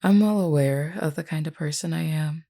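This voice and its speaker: A woman playing a flirty, frank, self-assured werewolf in an intimate, unhurried audio roleplay spoken to the listener. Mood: frank, firm. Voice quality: low, creaky, mellow. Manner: unhurried, teasing yet earnest, deliberate.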